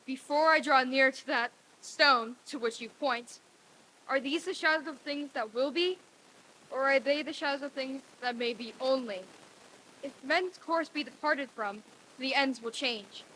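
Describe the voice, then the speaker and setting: Woman as high, bright-voiced frightened pleading old miser. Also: a little slow. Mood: desperate pleading. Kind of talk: old-time radio play reading on stage. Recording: hissy, noisy live stage recording.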